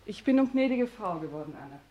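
An actress in a 1960s German radio drama playing a young aristocratic bride, proud and wistful. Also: female voice, mid-range voice, very animated, fast talker, highs cut off, natural voice. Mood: imperious. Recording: faint hiss, old radio recording.

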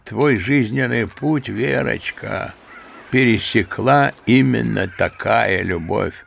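An actor playing an old, kindly, sentimental general who speaks fervently and fondly, in a radio play. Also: male voice, lowish voice, vocal fry, a bit bright, a little hiss or hum, full character voice. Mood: gentle. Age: older. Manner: fervent, musing, fond and paternal. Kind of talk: theatrical radio play acting.

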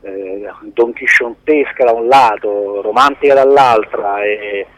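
A man's voice: fairly low pitch, slow, fairly bright tone, no room sound. A man speaking as a film producer in a radio interview over a remote link, searching for his words in a reflective way. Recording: dull band-limited phone-like radio link.

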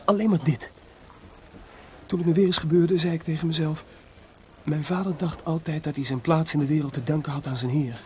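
Guy acting a fired-up sleepless composer in a radio play, highish male voice, noisy hissy recording. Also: steady tone, brisk, a little mellow, lightly acted. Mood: earnest, explaining. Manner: impassioned, rhetorical, confiding. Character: feverishly excited male composer, sleepless, proud, newly feeling free. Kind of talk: radio drama dialogue.

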